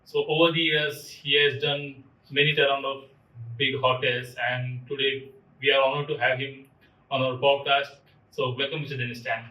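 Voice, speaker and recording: A male podcast host reads his introduction and questions with a flat, level melody. His voice sounds bright and forward. He is picked up from a distance in an echoing room, over faint music. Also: medium pitch, normal speed. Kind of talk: interview questions. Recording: roomy echo, distant mic, faint music.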